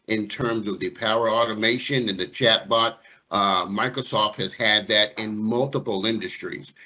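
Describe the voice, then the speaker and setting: Male tech presenter, forceful bright tone, mid pitch, explanatory and conversational, on compressed meeting audio. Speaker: technology specialist presenter. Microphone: online meeting microphone. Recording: dull compressed online-call audio.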